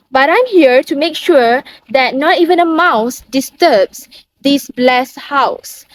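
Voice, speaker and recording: Casting: young woman playing commanding play figure, high voice, amateur reading, noticeable traffic-like background noise. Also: rough recording. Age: young adult. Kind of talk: amateur dramatic reading of a modernised Shakespeare play.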